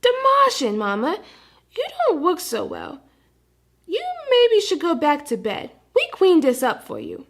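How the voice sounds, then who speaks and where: A woman playing an earnest, high-pitched little puppy. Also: huge pitch swings, measured pace, heavily acted. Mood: earnest, explaining. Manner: babyish grammar, says dat for that. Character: mischievous, earnest little puppy boy with big imagination. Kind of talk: read-aloud children's storybook character.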